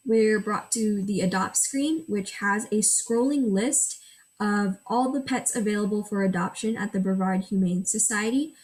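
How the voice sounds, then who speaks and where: A woman's voice: medium pitch, darkish tone. A teenage girl whose first language is Gujarati, reading a prepared school project presentation and walking through screenshots step by step. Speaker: student presenter.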